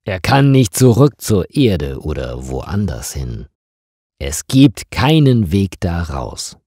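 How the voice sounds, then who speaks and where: A man narrating a scripted story for language learners in deliberate, simple short phrases. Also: low-pitched, big pitch swings, warm tone, husky, dry recording, studio quality.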